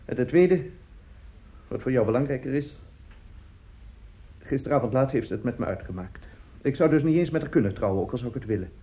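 An actor plays a middle-aged man in a radio drama, a morally loose family friend who justifies himself. His voice is dark and soft-edged, emphatic yet halting. The recording is dull and muffled. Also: medium pitch, animated, natural voice. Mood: firm, placating.

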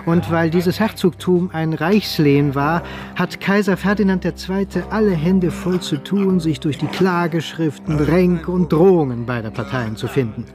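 A man's voice: fairly high pitch, normal speed, few pauses, music in the background, lightly acted. A man narrating a historical radio drama as its storyteller. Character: male storyteller of a historical tale.

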